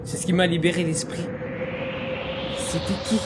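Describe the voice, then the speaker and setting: Performer as anxious but determined young anime hero, earnest, hesitant inner monologue, loud music behind. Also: mid-range voice, little pitch movement, moderate pace, close to an everyday voice. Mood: wondering. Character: determined, anxious teenage boy hero in training. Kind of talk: anime fan dub acting.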